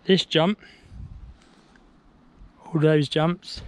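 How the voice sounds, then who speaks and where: Slow-talking dad coaching on a woodland trail. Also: male voice, a little high, fairly even intonation. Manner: encouraging, coaching short commands; calling out while riding a mountain bike. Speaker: father filming his son. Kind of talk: casual vlog chat. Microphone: action camera on the rider.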